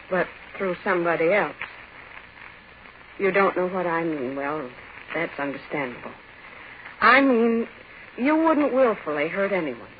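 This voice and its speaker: A woman playing a doctor's clear-headed, coolly persuasive wife, measured and deliberate, in a 1940s radio horror play. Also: lowish voice. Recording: tinny, hissy 1940s radio recording.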